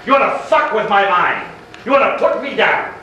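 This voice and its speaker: A man's voice: sits high, expressive, moderate pace, pushed and bright. An actor playing a sarcastic, menacing married middle-class man in a stage play, needling in a theatrical manner. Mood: angry, confrontational. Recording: echoey, distant, noisy live stage recording.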